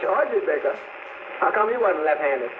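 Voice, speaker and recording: A man's voice: a little high, animated, moderate pace, close to an everyday voice. Tinny male actor as eager, dim New sidekick. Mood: nostalgic, puzzled. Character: dim, eager, good-natured tavern sidekick fellow. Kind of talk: old-time radio comedy acting. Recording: noisy, tinny, echoey vintage radio broadcast.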